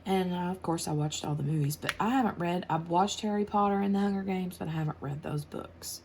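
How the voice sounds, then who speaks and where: A woman's voice: fairly low pitch, brisk, a little mellow, slight background noise. An American woman in her forties, chatting casually and rambling a little while she works on a craft project and answers tag questions. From United States. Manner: rambling, chatty, laughs easily. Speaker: craft channel host.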